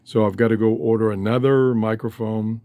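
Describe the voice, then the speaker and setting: A man's voice: lowish voice, fairly even intonation, moderate pace, mellow, dry recording. An older man talking casually in a folksy, rambling way, often interrupting himself as he hosts a hobby vlog. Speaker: host of a shortwave radio hobby channel. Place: cluttered room downstairs at home. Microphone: handheld cell phone.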